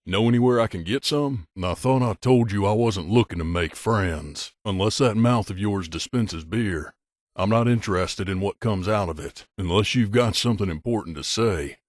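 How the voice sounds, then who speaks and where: A man's voice: on the low side, normal speed, no room sound, clean studio sound, lightly acted. A man playing a middle-aged mercenary bodyguard in a video game, a blunt and guarded loner who talks in a terse, matter-of-fact, aloof way. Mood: guarded, curt. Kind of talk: video game character dialogue.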